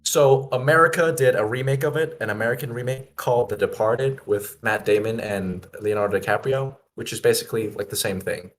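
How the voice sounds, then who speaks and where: A man who plays in a tabletop game, rambling through his thoughts with halting restarts and lots of "like". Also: medium pitch. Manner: rambling, halting, many fillers. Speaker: player. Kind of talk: casual post-game chat.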